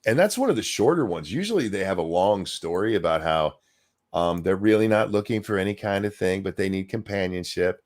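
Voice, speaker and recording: A man hosting a casual live stream, ranting in a crude, sarcastic way with loud outbursts. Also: deep voice, animated, fairly quick. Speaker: stream host. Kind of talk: casual profane ranting chat.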